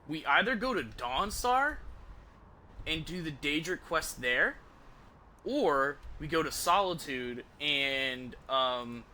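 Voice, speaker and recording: A man doing casual commentary for viewers on a game stream, thinking out loud as he goes. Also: medium pitch, a little hiss or hum. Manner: thinks aloud, hums while deciding.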